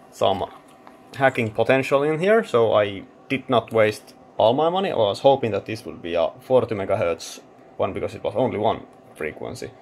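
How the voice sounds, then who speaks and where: A man's voice: lowish voice, a little hiss or hum. A man giving casual hands-on tech commentary, thinking aloud informally as he hosts a teardown video. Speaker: host of electronics teardown channel.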